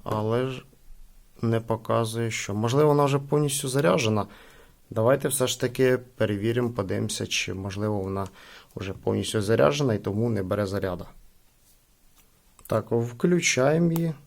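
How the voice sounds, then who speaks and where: Creaky-voiced man doing a casual gadget review. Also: mid-range voice, a little fast, a little mellow. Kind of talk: casual hands-on product review.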